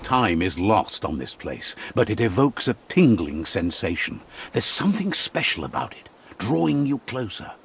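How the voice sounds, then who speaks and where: An actor playing a whimsical, wise storyteller who narrates an animal fable in a video game with theatrical, philosophical delivery. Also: male voice, on the low side, sing-song, moderate pace, hardly any pauses, slight background noise. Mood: wise, reflective.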